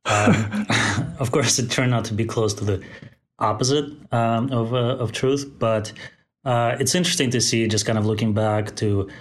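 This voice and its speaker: A man in his forties, born in Moscow and raised in Central Asia, speaks thoughtfully as a podcast guest, pausing often to find his words. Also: mid-range voice. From born in Moscow, raised in Tajikistan. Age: 40s. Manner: thoughtful, many fillers and restarts. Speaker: guest, company founder. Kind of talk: podcast interview. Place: Bay Area.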